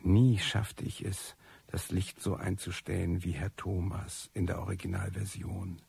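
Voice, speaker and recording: An actor playing a disillusioned, cynical recluse who tells his life story in a radio drama, wry and bitter, with a husky, gravelly voice. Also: low-pitched, little pitch movement, a little slow, a little mellow, full character voice. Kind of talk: radio drama first-person monologue.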